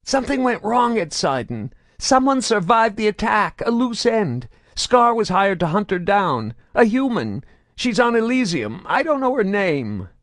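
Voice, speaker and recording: A terrified whining alien merc. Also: male voice, sing-song, a little slow, fairly bright tone, no room sound, clean studio sound, exaggerated voice. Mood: fearful. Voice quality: high-pitched mewling whine. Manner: pleading, desperate, stammering. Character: terrified crippled male alien mercenary, begging.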